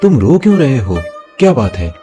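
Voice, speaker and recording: A man's voice: mid-range voice, sing-song, faint music behind, lightly acted. A man voicing a kindly, reassuring holy sage with magical powers in a moral-story cartoon. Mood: gentle, reassuring. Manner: gentle, reassuring, unhurried.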